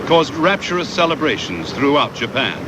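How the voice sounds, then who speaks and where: A man reading scripted historical documentary narration in a measured, formal way with deliberate pauses, on a VHS transfer. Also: a little high, sing-song, very noisy.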